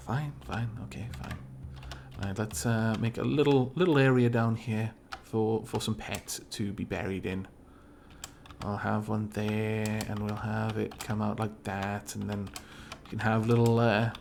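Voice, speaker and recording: Man musing over a game, lively pitch. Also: creaky, slight background noise. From Wales. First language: Welsh. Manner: rambling, thinking aloud, dry asides. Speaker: let's play streamer. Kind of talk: casual gameplay commentary. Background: faint background music.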